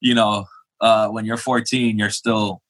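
A man's voice: fairly low pitch, little pitch movement, measured pace, a bit bright. A young adult man from Queens, New York, a pastor telling his testimony in a casual interview, talking conversationally with fillers and restarts. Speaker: pastor, podcast guest.

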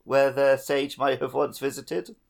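A man's voice: mid-range voice, flat delivery, unhurried, vocal fry. A man co-hosting a podcast, chatting casually about a video game with wry, joking asides. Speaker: podcast co-host.

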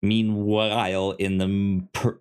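A man co-hosting a podcast in casual review chat, halting and often stammering over his words as he explains. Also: fairly low pitch, little pitch movement, a little mellow, clean tone. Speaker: podcast co-host.

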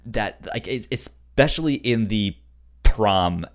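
A man's voice: lowish voice, expressive, takes it slowly. A man chatting casually as a podcast co-host, amused and enthusiastic, often stammering and repeating words. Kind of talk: casual chat, fan discussion of a TV show.

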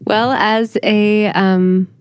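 A woman's voice: medium pitch, fairly even intonation, extremely slow, clear, resonant tone, dry recording. A young adult female historian, a guest on a podcast, speaking in an earnest, careful way with many fillers. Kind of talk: interview conversation.

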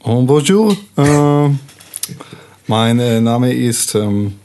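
A man's voice: mid-range voice, lively intonation, drawn-out words, mellow, a little hiss or hum. Man putting on a hesitant Frenchman. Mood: hesitant, foreign. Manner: hesitant, broken phrasing. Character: halting Frenchman struggling with German.

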